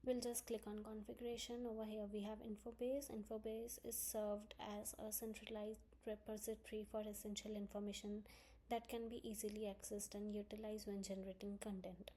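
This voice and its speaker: A woman narrates a screen-recorded software tutorial in a soft, mellow tone. Her pitch sits in an average range for a woman, and she explains things step by step as an instructor would. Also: little pitch movement, brisk, a little hiss or hum.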